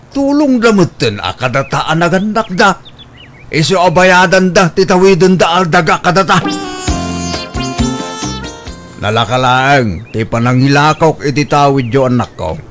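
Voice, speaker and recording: A man's voice: fairly high pitch, very animated, normal speed, soft background music. A man with an Ilocano accent playing a stubborn, grasping older father in a radio drama, haggling emphatically. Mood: stubborn, greedy.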